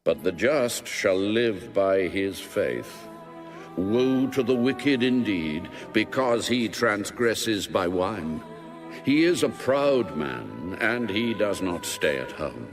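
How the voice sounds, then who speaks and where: Male scripture narrator, lowish pitch, very unhurried, solemn and formal, music bed underneath. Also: very animated. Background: music behind the voice.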